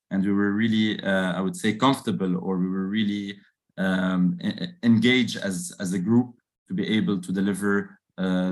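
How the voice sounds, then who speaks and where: Deep-voiced man, halting. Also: darkish tone. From Lebanon. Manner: hesitant, many fillers and "I would say". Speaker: MBA student council member. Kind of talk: interview answers.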